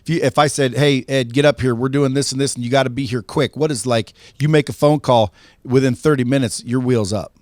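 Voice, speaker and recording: A man's voice: mid-range voice, steady tone, brisk, a little mellow, dry recording. Eager man host, dry close sound. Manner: eager, playful, says "dude" a lot. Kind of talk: casual conversation.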